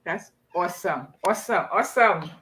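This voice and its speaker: Female live-chat host with a Trinidadian accent. Crisp, bright tone, effusive casual delivery. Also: fairly low pitch, moderate pace, a little hiss or hum.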